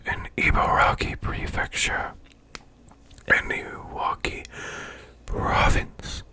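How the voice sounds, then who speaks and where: A man voicing a demon boss who reads eerie folklore as bedtime ASMR in a slow, hushed, growling rasp with long pauses. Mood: hushed, ominous. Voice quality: growling, gravelly, breathy rasp.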